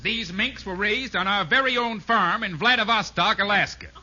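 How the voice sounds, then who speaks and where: Shady fur salesman, high pitched, oily patter, old fifties radio comedy sound, kinda muffled. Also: normal speed, pushed and bright, full character voice. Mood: pushy. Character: slick, shady fur dealer, fast-talking salesman. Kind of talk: 1950s radio comedy acting.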